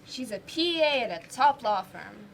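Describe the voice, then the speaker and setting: Casting: young woman playing discouraged, defiant drama student, high voice, amateur stage play, noisy recording. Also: expressive, measured pace, gravelly. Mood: downcast. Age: teenager or young adult student performer.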